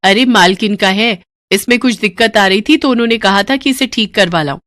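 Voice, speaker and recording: A woman voicing a sharp-tongued, defensive wife in a cartoon story, brazen and sarcastic with dramatic retorts. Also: medium pitch, fairly quick, dry recording, natural voice. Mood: evasive. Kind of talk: Hindi cartoon story dialogue.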